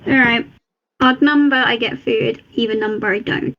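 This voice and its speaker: A woman's voice: fairly high pitch, steady tone, moderate pace, vocal fry, lo-fi sound. A woman playing a tabletop game, chatting casually in a playful, dramatic, exclamatory way. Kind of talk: casual chat.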